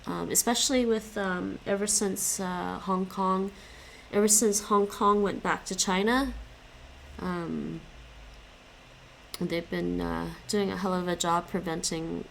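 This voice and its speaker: A woman's voice: lowish voice, fairly even intonation, a little slow, creaky, slight background noise, rough recording. A young woman chatting casually with stream viewers while she studies languages. She stops and restarts often and laughs a lot. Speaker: live streamer studying languages.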